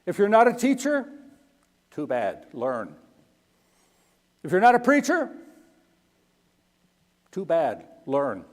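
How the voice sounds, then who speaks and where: A man's voice: high-pitched, huge pitch swings, slow pace, long pauses. A middle-aged missionary man giving a folksy Sunday school talk, often checking in with "okay?". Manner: folksy, keeps asking "okay?". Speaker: missionary speaker. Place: church hall. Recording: long reverb of a large hall.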